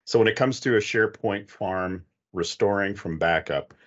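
A man's voice: on the low side, moderate pace, fairly bright tone. A middle-aged man giving methodical, conversational technical advice as a panelist on an online video call. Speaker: expert panelist. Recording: dull, muffled online call audio.

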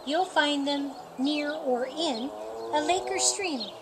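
A middle-aged woman reading a children's picture book aloud in a deliberate, expressive storytime manner. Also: high voice. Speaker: storytime reader. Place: outdoors in a sunny yard by a painted wall. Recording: outdoor noise with birdsong. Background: birdsong and outdoor ambience.

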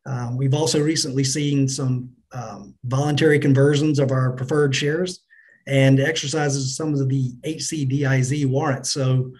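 A middle-aged man answering business interview questions as a company finance chief, in a measured, businesslike manner. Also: medium pitch, fairly even intonation, darkish tone, poor audio. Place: indoors on a remote video call.

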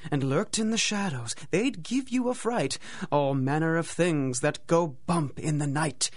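A man's voice: a little high, close to an everyday voice. A man narrating a radio drama in a theatrical, dramatic storytelling manner.

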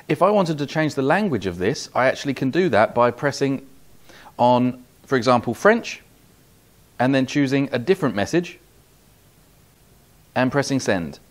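A man's voice: medium pitch, very animated, moderate pace, long pauses. A man in his forties with a British accent giving a methodical, step-by-step product demonstration. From United Kingdom. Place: small office demo room, indoors.

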